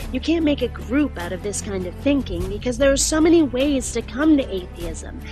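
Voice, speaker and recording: A woman with a fairly high voice speaks directly to viewers in a scripted monologue. She explains her position firmly and deliberately, with music clearly audible behind her. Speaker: atheist video blogger.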